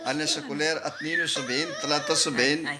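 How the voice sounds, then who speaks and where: A man's voice: on the high side, fairly even intonation, very noisy. A man originally from Pakistan, telling his story in a casual, fragmented way as an interviewee. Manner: quick, fragmented, with laughs. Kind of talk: casual recollection.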